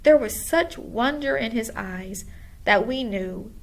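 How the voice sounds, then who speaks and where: A woman's voice: fairly high pitch, animated, slow pace, low-quality recording. A woman reading a children's storybook aloud as narrator. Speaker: school administrator reading aloud.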